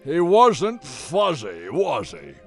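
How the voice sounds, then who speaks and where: A man voicing a gruff, goofy, bearish druid in a video game, with hammy delivery. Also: a little high, huge pitch swings, slow pace, music in the background, full character voice. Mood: gruff, joking. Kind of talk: video game unit barks.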